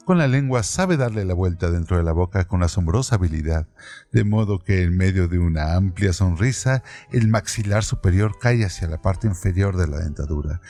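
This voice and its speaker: A man narrating a novel aloud in a calm, deliberate scripted reading. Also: low-pitched.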